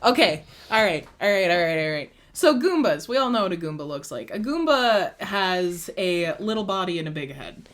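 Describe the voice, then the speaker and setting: A young woman co-host in relaxed conversation whose pitch bounces up and down as she talks. She sounds enthusiastic and emphatic, given to gushing exclamations. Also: moderate pace, few pauses. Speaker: podcast co-host. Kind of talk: casual chat.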